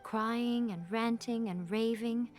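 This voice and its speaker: A woman's voice: fairly high pitch, steady tone, takes it slowly, a little mellow. A woman playing a sly, elegant shrine maiden in a video game, self-assured, with a playful and haughty teasing manner. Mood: mock pity. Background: game music.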